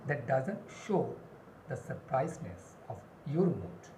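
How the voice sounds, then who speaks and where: A woman gives a spoken English lesson in a low, very animated voice. She speaks deliberately and repeats key phrases to stress them. The recording is roomy and echoey. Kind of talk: instructional lesson with numbered points and examples. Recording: roomy echo, clear background noise.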